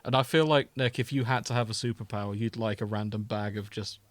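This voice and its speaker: A man's voice: lowish voice, fairly even intonation, fast, no echo. A man co-hosting a podcast, chatting casually about television in a teasing, sweary way. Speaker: podcast co-host. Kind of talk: casual banter about TV with friends.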